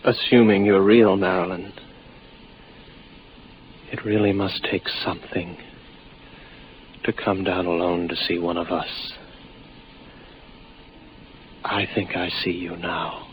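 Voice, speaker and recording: An actor playing an exhausted, delusional lone space station officer in 1950s radio drama, halting, brooding and gravelly. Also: male voice, low voice, level tone. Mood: subdued, tender. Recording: muffled, noisy old radio recording.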